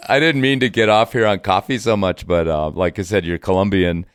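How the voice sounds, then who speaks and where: A man with a low, deep voice hosts a podcast in a casual, conversational style. The sound is dry, with no room around the voice. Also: steady tone, fairly quick, clean tone, dry recording. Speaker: podcast host. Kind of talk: casual chat.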